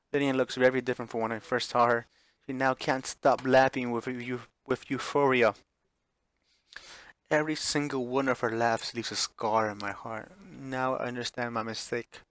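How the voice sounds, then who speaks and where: A man with a medium-pitched voice narrates a visual novel on stream. His read-aloud delivery is dramatic but unpolished, with stumbles and repeated phrases. The sound is dry and close. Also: fairly even intonation, moderate pace, fairly bright tone, no echo. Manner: stumbles over words and re-reads phrases.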